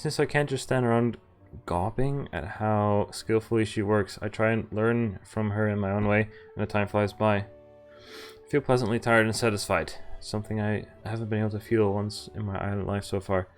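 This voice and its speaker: A man's voice: lowish voice, fairly even intonation, moderate pace. Man reading a game aloud over music. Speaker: game streamer reading a visual novel aloud. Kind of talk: casual livestream read-aloud with side comments.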